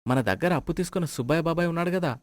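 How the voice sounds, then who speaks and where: An actor playing an excitable son and husband in a comic moral story, exclamatory and questioning. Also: male voice, a little high, racing, slight background noise, natural voice. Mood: smug, relieved. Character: flustered, thrifty son and husband, comically bewildered.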